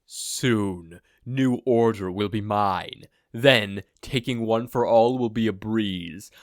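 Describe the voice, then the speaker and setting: A man's voice: lowish voice, slow pace, no room sound, studio quality. A man voicing a sinister, self-absorbed male supervillain who monologues in a pompous, theatrical way, in a story reading. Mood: gloating, grandiose.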